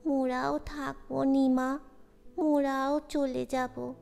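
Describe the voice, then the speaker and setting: A woman performs a small ghost boy from the village in an audio story, pitched very high. He speaks in rustic dialect, impish yet shy and devoted. The voice rings in a long reverb with music underneath. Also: flat delivery, slow, creaky, a little mellow, exaggerated voice. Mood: wistful.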